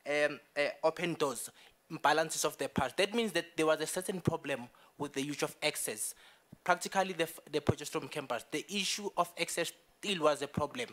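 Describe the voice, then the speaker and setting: A man's voice: on the high side, a bit bright. A young man whose first language is Zulu, answering earnestly in a university debate at a desk microphone. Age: young adult. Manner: earnest, gesturing while arguing. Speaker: student panelist. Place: university meeting room.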